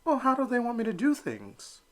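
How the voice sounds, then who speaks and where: A man's voice: very high voice, wildly swooping pitch, brisk, voice pushed up, exaggerated voice. A man voicing a cheerful, artsy young boy duckling in a storybook, bouncy and cheeky. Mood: chirpy.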